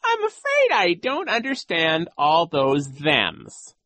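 A woman voicing a sly, villainous male gym coach in a storybook, smugly cruel, telling things in a drawn-out theatrical way with false regret. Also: on the low side, huge pitch swings, a bit bright, no room sound, voice pushed up, exaggerated voice. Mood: smug, feigned regret.

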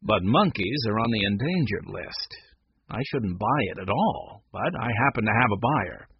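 A man voicing a smug, officious shop clerk in a storybook, with a brisk, self-satisfied manner. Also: medium pitch, sing-song, slightly rough, no room sound, dull audio.

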